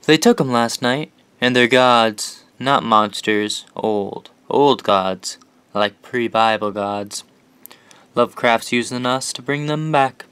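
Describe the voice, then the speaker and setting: An actor playing an eager, devoted young painter and asylum patient, informal and fervent, in an audio horror drama. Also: male voice, medium pitch, takes it slowly, a bit bright, smooth, dry recording. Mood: fervent, awed.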